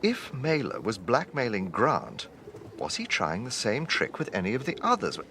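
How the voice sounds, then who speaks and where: An actor playing an urbane gentleman police detective in a radio drama, with a British accent and a courteous, probing, dryly amused manner. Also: medium pitch, huge pitch swings, normal speed, a little hiss or hum, full character voice. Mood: reflective.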